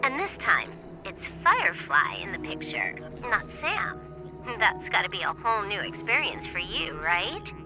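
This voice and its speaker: A woman's voice: medium pitch, big pitch swings, a little slow, poor audio. An actress playing a young, nonchalant female hacker who teases wryly in a video game, with music behind her. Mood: casual warning. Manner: teasing, nonchalant. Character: playful teasing young female hacker, casual and wry. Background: background music.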